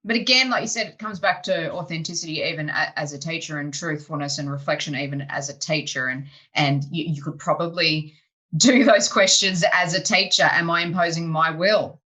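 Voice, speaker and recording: Bright-voiced woman, chatty host. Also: low-pitched, a little fast. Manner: animated, chatty, many fillers like "you know". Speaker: podcast host and improv teacher. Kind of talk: casual interview chat.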